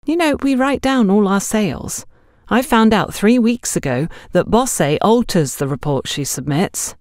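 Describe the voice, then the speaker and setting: A gossipy, worldly young shop girl, played by a woman narrator, spilling a story in confidence. Her pitch is lifted and swoops dramatically, in a dry audiobook recording. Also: expressive, a little slow, darkish tone, no room sound, natural voice. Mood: indignant, confiding.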